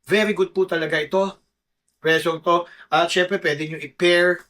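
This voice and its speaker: A man's voice: sits high, steady tone, a little slow, fairly bright tone. A Filipino man giving a casual product review to camera, informal and often checking in with short tag questions. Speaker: motorcycle gear reviewer.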